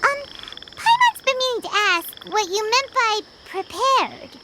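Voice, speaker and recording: A woman voicing a tiny, excitable female fairy companion with a squeaky, childlike voice in a video game, with music behind. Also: a little slow, very noisy, heavily acted. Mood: puzzled. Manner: refers to self in third person, exclamatory.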